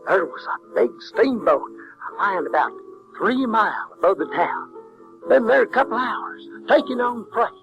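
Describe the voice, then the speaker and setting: A man's voice: high-pitched, very animated, measured pace, vocal fry, muffled recording, heavily acted. A male actor playing a plainspoken country boy, narrating in a folksy, wry way with an American Southern accent. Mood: wry, easygoing. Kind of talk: in-character narration in audio drama. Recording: 1970s audio drama with music.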